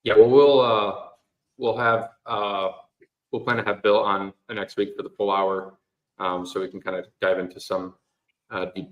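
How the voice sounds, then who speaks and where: A man's voice: fairly low pitch, little pitch movement, moderate pace, highs cut off. A man hosting a podcast, speaking hesitantly with many filler sounds as he introduces the guest. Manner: hesitant, many ums and uhs. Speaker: podcast host. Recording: echoey room.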